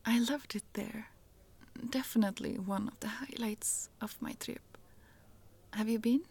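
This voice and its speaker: A woman voicing a friendly, chatty young Swedish traveler with a Swedish accent, in a soft, giggly whisper for an ASMR roleplay. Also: a little high, fairly even intonation, measured pace, creaky, husky, heavily acted.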